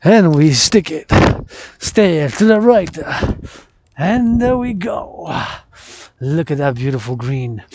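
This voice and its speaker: A man's voice: on the high side, a little mellow. A man with a Quebec French accent vlogging on a mountain path outdoors, declaiming and repeating phrases in English and French. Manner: declamatory and theatrical, repeats phrases in both languages. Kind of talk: walking vlog, mixing English and French.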